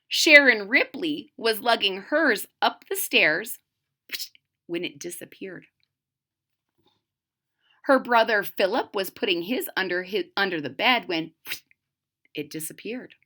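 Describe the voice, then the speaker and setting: A woman reading a picture book aloud to children in a dramatic way, pausing to put questions to young listeners. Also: mid-range voice, lively intonation, bright, forceful tone.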